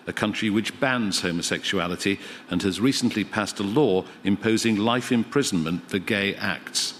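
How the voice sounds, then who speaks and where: A man presenting a live radio programme from a theatre, asking measured, gently probing interview questions. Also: fairly low pitch, in a large hall, a little hiss or hum.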